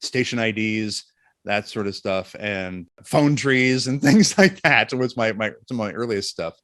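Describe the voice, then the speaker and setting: A man's voice: on the low side, lively intonation, measured pace, a bit bright, dry recording, studio quality. A man talking conversationally as an interview guest, explaining his voice work thoughtfully. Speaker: voice actor and narrator, interview guest.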